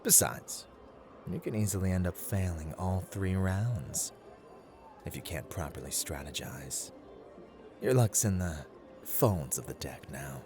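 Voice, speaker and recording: Low, theatrical man acting as a teasing trickster god. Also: expressive, over music. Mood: coolly teasing. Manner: theatrical, teasing, deliberate, dropping into hushed murmurs. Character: playful, taunting male god of luck, smooth-talking card dealer. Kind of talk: ASMR roleplay acting.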